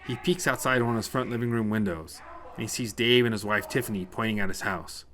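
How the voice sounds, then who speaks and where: Bright-voiced man narrating a story. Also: lowish voice, a little fast. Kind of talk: scripted short-story narration in present tense.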